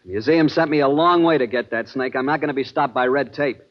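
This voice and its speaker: An actor playing a hard-boiled American adventurer who narrates a vintage radio drama in a clipped, dramatic way. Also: male voice, medium pitch, fast, no room sound, close to an everyday voice. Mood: persuasive. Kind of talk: 1940s radio drama acting, first-person narration. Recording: dull vintage radio recording with loud music.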